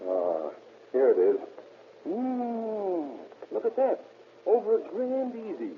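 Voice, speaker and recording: An actor playing a cocky, greedy safecracker in an old-time radio crime drama, bossy and slangy. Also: male voice, high voice, wildly swooping pitch, slow pace, full character voice. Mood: bossy, gloating. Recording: tinny, noisy old radio recording.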